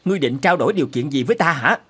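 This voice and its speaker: Swooping, blustering cartoon hunter. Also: male voice, on the high side, lively intonation, rapid-fire, fairly bright tone, breathy edge. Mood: suspicious, blustering. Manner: theatrical, blustering, mocking. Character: burly middle-aged male hunter, gloating, mocking, suspicious. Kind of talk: cartoon character acting.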